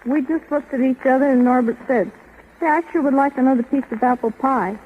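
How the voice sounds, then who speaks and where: A woman's voice: high voice, a little fast, a little hiss or hum. A woman in her twenties as a guest in a scripted-sounding 1930s radio interview. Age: 20s. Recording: tinny old radio broadcast.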